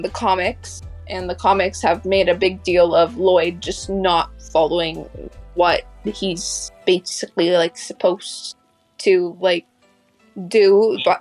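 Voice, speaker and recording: A woman co-hosting a podcast, talking casually as a fan in a halting way that circles back mid-thought. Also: on the low side, fairly even intonation, faint music behind, rough recording. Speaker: podcast co-host. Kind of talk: casual fan discussion of an animated series.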